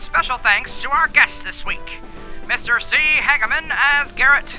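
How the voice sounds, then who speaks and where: A man reading the end credits of a show in a clear, deliberate way. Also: very high voice, pushed and bright, faint music behind, rough recording. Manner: clear, deliberate announcing; spells things out. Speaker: credits announcer.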